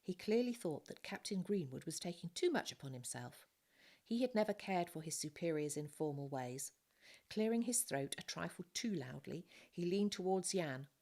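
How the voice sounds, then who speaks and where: A woman with a low-pitched voice narrates a storybook. She reads scripted prose as an audiobook reader. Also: animated, moderate pace, a little mellow.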